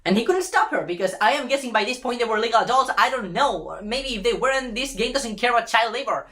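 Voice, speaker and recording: A woman's voice: a little high, expressive, fast talker, a bit bright. A woman in a casual, rambling rant, retelling a story with sarcastic, sweary exclamations.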